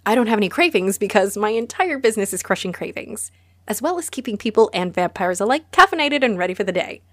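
A woman's voice: medium pitch, animated, fast talker, dry recording, clean studio sound, lightly acted. A woman playing a friendly, easily flustered vampire who runs a cozy cafe, chatty and apologetic, in a roleplay audio drama spoken to the listener. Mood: proud, playful.

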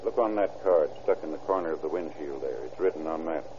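An American man acting a tough older deputy near retirement in a 1930s radio drama, gruff, slangy and commanding. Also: rumbling low, a little fast. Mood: businesslike. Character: tough, unflappable veteran deputy nearing retirement. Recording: dull, very noisy old radio recording.

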